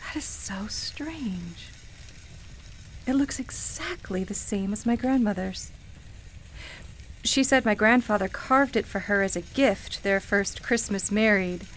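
A woman's voice: mid-range voice, slow, a bit bright, slight background noise, poor audio. An actress in a radio play playing a sincere, wistful woman who reminisces hesitantly about her life. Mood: hesitant, wondering. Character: wistful woman grieving her beloved grandmother at Christmas. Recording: music playing behind the voice. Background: music.

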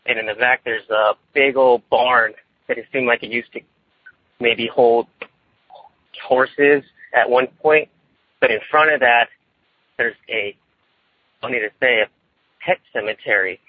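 Halting young man on tinny phone. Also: mid-range voice, fairly even intonation, slightly rough, dry recording. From California, United States. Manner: nervous, halting and repetitive. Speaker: first-time phone-in caller telling a personal story. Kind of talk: casual rambling personal storytelling. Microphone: phone line. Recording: tinny, boxy phone call.

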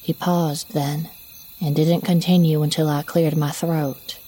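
A woman narrating a horror story in the first person as a shaken daughter looking back, with measured, reflective phrasing. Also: sits low, flat delivery, warm tone, husky. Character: shaken daughter recounting a frightening childhood memory.

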